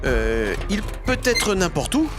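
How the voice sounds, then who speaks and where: A man voicing a muddled, apologetic talking clock in a cartoon, halting and hesitant as he rambles. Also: high-pitched, sing-song, over loud music, full character voice. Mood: flustered. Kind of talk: French children's cartoon dub.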